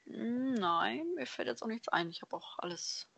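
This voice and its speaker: A woman's voice: a little high, a little slow, creaky, a bit bright, no echo. Woman guest chatting in a close, dry sound. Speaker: podcast guest, hobby painter. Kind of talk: casual conversational interview answers.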